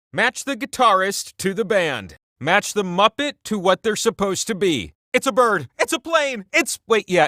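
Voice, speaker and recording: An actor playing a giant, boastful, all-knowing wheel that hosts a video game quiz with theatrical grandeur and wry asides. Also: male voice, sits high, sharp tone, no echo, studio quality, heavily acted. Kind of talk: theatrical game-show hosting.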